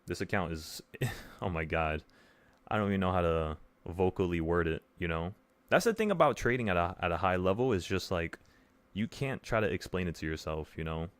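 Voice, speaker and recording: A man's voice: deep voice, animated, brisk. A man in his twenties hosting a livestream, talking casually to viewers in an earnest, rambling way with repeated phrases for emphasis. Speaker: livestream host, options trading teacher.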